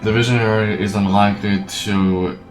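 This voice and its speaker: Low-voiced man reading games aloud. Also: fairly even intonation. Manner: halting reader who repeats phrases. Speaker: game streamer. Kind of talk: reading game dialogue aloud with commentary. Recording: faint game music behind. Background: faint game music.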